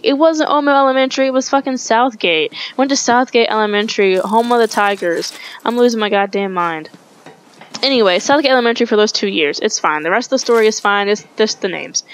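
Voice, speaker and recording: Rambling young woman gamer, swearing. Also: medium pitch. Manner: rambling, swears, self-correcting. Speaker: gaming streamer. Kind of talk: casual storytelling over gameplay. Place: home room, webcam. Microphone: headset.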